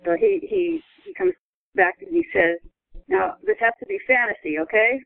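High, creaky woman on a phone-like line. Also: big pitch swings, normal speed. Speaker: author, panel guest. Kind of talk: casual anecdotal chat.

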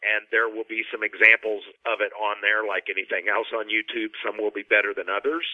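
A man's voice: on the low side, little pitch movement, fairly quick, no room sound. A man speaking as a panel guest, explaining things conversationally over a narrow telephone-like connection. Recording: dry, narrow telephone-like line.

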